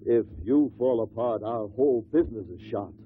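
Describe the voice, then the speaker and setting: An actor playing a worried, reasonable business partner, earnest and probing, in a 1950s radio drama. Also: male voice, lowish voice, normal speed, close to an everyday voice. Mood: concerned. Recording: tinny, noisy old radio recording.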